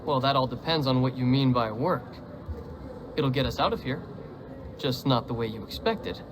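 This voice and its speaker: An actor playing a wry, conspiratorial prisoner and forger in a dramatic video game cutscene. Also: male voice, medium pitch, normal speed, lightly acted. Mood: sly. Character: scheming, wry male prisoner, a forger plotting escape. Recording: clear background noise and ambience.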